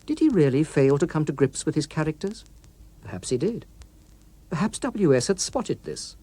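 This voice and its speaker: A man narrating an audiobook story in a precise, expressive, literary manner, recorded in a studio. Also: on the high side, normal speed, husky. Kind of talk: scripted dramatic story narration.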